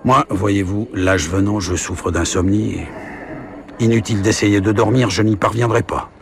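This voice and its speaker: A man's voice: fairly low pitch, steady tone, moderate pace. A man playing a courteous, talkative older traveller with formal, genteel phrasing in a radio drama. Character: courteous, talkative ageing male traveller, smoothly considerate. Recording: clear train background noise.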